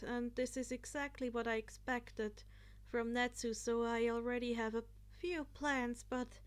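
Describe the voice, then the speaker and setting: A woman's voice: fairly high pitch, fairly bright tone. A woman voicing a nervous, modest teenage boy in a story, hesitant and rambling.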